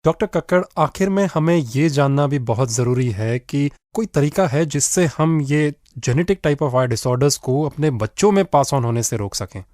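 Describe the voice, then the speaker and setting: A man's voice: medium pitch, animated, a little slow, few pauses, darkish tone, no room sound, clean studio sound. A man with an Indian accent hosting a radio interview, putting questions politely and formally.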